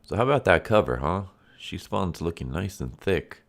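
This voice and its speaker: A man's voice: low-pitched, expressive, normal speed. A man reviewing a comic book in a casual, rambling way, his voice congested and stuffy from a recent illness. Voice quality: nasal, stuffy. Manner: rambling, full of fillers and restarts.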